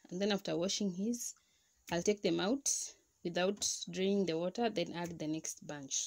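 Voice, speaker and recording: A young woman, casually showing at home how she does a chore step by step. She talks loosely, with restarts. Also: medium pitch. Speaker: vlogger. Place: indoors at home beside a washing machine.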